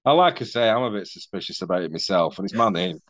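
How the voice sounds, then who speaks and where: A man in his forties with a Mancunian accent that has worn softer over the years. His voice sits fairly low and sounds dry and close. He chats casually, pokes fun at himself and swears now and then, over a dull, lo-fi video-call line. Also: lively intonation, fast talker, dry recording. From Manchester, England. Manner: self-deprecating, chatty, swears casually. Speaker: podcast guest.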